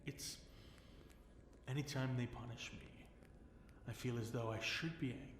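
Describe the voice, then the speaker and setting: A man playing a possessive, seductive fallen dragon king in an intimate ASMR fantasy roleplay, with teasing, commanding delivery and breathy whispered words. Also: medium pitch, fairly even intonation, moderate pace, natural voice. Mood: amused, concerned. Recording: roomy echo, faint background noise.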